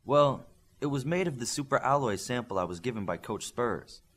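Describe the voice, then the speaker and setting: A man's voice: medium pitch, lively intonation, normal speed, a bit bright. A man voicing an eager young boy superhero who builds things, in an audiobook. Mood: matter-of-fact.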